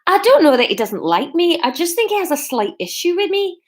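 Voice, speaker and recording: A woman voicing an awkward, hesitant young fiancé in a story, with an attempted Scottish accent and a halting, defensive manner. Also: very high-pitched, sing-song, pitched above the performer's own voice. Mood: defensive, hurt. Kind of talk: audiobook-style character dialogue.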